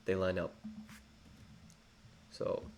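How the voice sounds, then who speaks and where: A man's voice: drawn-out words, a little mellow. A young man with an English accent, rambling through a live trading tutorial with many fillers and self-corrections. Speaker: trading educator. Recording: faint background noise.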